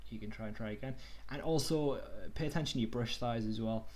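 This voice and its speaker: A young man casually talking through a screen-share tutorial on a video call, relaxed and chatty. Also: mid-range voice, quick pace. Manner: relaxed, chatty, says "okie dokie" and "kind of". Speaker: online workshop tutor.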